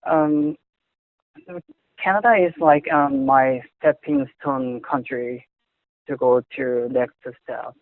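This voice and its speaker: Young man, Korean accent, non-native English, slow and hesitant, dry tinny line. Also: medium pitch, fairly even intonation, dry recording. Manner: halting, searching for words, frequent restarts. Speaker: interviewee. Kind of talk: casual conversation in English. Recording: tinny phone-like audio.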